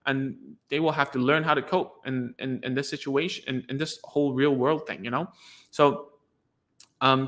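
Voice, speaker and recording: Bright-voiced man, frank and rambling. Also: mid-range voice. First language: Cantonese. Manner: frank, rambling, heavy use of "like" fillers. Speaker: speech therapist. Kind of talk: casual advice monologue to parents.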